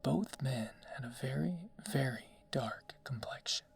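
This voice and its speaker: A narrator reading a scary story in a hushed ASMR whisper, slow and deliberate. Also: medium pitch, expressive, vocal fry, slightly rough. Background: background music.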